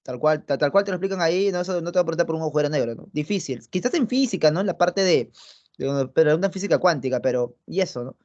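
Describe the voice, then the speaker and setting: Young male tutor, high voice, chatty online lecture, lo-fi computer mic. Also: lively intonation, fast talker, darkish tone. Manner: chatty, tags phrases with "¿no?" and "¿ya?"; talks directly to students, affectionate asides. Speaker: philosophy teacher. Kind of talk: online pre-university lecture. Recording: muffled lo-fi audio.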